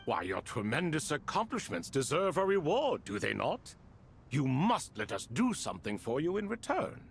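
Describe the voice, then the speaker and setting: An actor playing an ancient, grand and wry elder in a video game, speaking in theatrical, courtly phrases. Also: male voice, fairly high pitch, huge pitch swings, normal speed, creaky, slight background noise, exaggerated voice. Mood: grand, solemn. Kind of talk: game voice acting.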